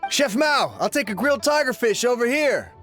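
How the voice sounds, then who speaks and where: Teasing diner, high male pitch, casual game character, clear background music. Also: big pitch swings, normal speed, a bit bright, full character voice. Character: teasing male restaurant customer. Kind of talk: anime game voice acting.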